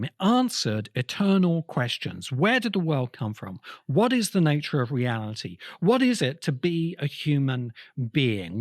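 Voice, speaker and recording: A man delivers an explanatory lecture at an unhurried pace. His pitch moves widely as he stresses short phrases and leaves pauses between them. The sound is dry, with almost no room in it. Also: no echo.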